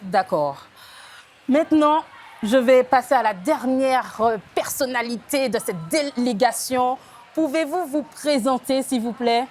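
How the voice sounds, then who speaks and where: A woman interviewer asking brisk questions and acknowledging answers with short replies. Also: high-pitched, darkish tone, faint music behind.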